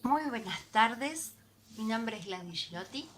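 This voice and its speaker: A woman's voice: fairly high pitch, lively intonation, measured pace, a bit bright, a little hiss or hum. A woman from Argentina with an Argentine Spanish accent, giving an earnest, impassioned recorded video message as an activist. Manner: earnest, impassioned, repeats for emphasis.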